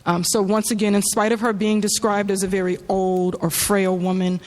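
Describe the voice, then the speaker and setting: A woman giving a semi-prepared religious talk in a halting, reflective way, telling a story with frequent pauses and fillers. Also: medium pitch, level tone, a little mellow. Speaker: speaker giving a religious address. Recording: long hall reverb.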